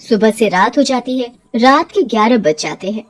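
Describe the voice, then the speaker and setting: A woman reading scripted narration for an animated story. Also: fairly high pitch, normal speed.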